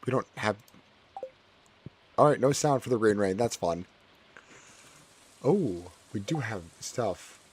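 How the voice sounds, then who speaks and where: A man with a hoarse, cracking voice chatting casually while playing a farming game, often repeating his own phrases. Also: on the low side, expressive, takes it slowly, long pauses. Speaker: gaming streamer. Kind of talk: casual gameplay commentary.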